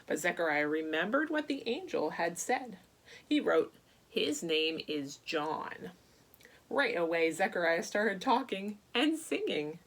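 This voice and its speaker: A woman speaking to young children as a church storyteller, reading aloud and chatting in an animated way with simple questions. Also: lowish voice, a little slow. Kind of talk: read-aloud children's Bible story and chat to kids.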